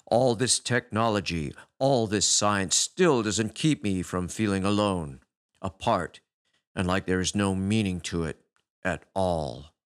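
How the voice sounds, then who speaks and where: A man voicing a weary, touchy male space alien who talks like a growling tough guy, deep and gravelly. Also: lively intonation, moderate pace, fairly bright tone. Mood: gloomy.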